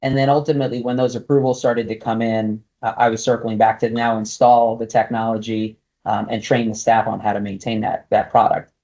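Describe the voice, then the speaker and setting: A man's voice: fairly low pitch, level tone, a little fast, dark tone, lo-fi sound. A man in his forties, a native Spanish speaker, answering interview questions thoughtfully with many fillers and restarts. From United States (Brooklyn, New York), raised partly in Guatemala. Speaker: casino executive, guest.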